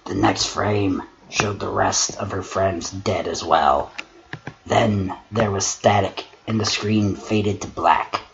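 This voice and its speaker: Solemn male horror-story servant, put on by a man, low and slow, muffled noisy recording. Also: steady tone, exaggerated voice. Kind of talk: dramatic horror reading and measured critique. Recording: muffled lo-fi mic with clear noise.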